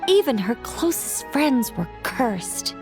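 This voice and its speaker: A woman playing a serene, ancient angel storyteller in a video game, eloquent and measured, with a wry, gently teasing streak. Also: fairly high pitch, sing-song, loud music behind the voice. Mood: solemn, storytelling. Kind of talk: video game character acting, storybook narration.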